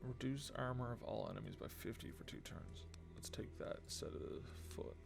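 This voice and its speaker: A man's voice: medium pitch, a little fast, creaky. A man casually commentating on his gameplay, muttering and thinking aloud half to himself. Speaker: video game streamer. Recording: loud game music behind. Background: loud video game music.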